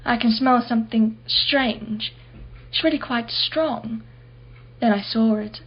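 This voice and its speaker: A woman's voice: on the high side, takes it slowly, slightly rough, dull audio. An actress playing a dying woman in a radio play, wistfully recalling her day in a dreamy, reflective way. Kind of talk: radio play acting with inner monologue.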